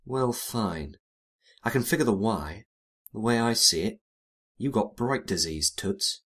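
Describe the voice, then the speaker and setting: A man playing a wry, hardboiled 1920s private detective, narrating in a dry, world-weary deadpan. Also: fairly low pitch, measured pace, breathy edge, exaggerated voice. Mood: frank, dry. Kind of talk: first-person noir detective narration and dialogue.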